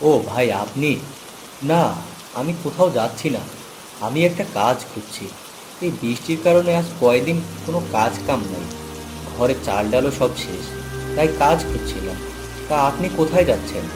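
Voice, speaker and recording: Guy doing poor hardworking village husband, cartoon dub, humble, loud music and rain behind. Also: medium pitch, expressive, normal speed, long pauses, lightly acted. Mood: humble, polite. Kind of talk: Bengali moral-story cartoon dub.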